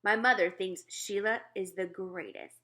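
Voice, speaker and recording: A woman reading a children's book aloud in an expressive storytelling style. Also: medium pitch, measured pace. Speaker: read-aloud reader.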